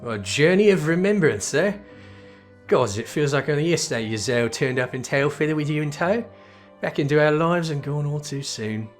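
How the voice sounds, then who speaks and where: A man voicing a gruff, wistful veteran in a fantasy game, reminiscing in a folksy way. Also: fairly high pitch, lively intonation, moderate pace, faint music behind, voice pushed up, natural voice. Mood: nostalgic.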